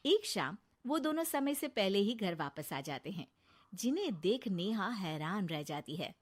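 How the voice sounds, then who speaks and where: A woman's voice: mid-range voice, big pitch swings, unhurried. A woman narrating a scripted moral story for a cartoon.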